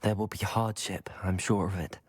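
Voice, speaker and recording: A polite teenage hero in a fantasy game. His low, breathy voice moves expressively yet stays composed and confident, recorded dry. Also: fairly even intonation, normal speed, no room sound. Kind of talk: video game character dialogue.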